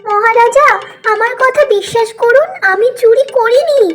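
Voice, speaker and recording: A woman's voice: very high voice, moderate pace, slight background noise. A woman voicing a cheeky, plucky little bird girl in a children's fairy-tale cartoon, with a childlike, sing-song delivery. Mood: pleading. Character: cheeky, plucky little tailorbird girl who protests and taunts.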